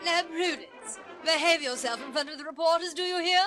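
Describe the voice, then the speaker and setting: A woman's voice: very high-pitched, a little fast, music in the background. A woman playing a prim, fretful rich society mother in a comic radio drama. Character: fussy rich society mother, easily flustered. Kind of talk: radio drama comedy acting.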